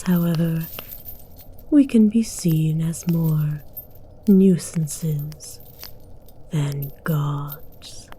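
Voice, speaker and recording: A woman playing a haughty, menacing dragon queen in an ASMR roleplay, whispering in an imperious, formal, breathy way. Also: lowish voice, mellow, a little hiss or hum. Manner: imperious, slow, deliberate, formal.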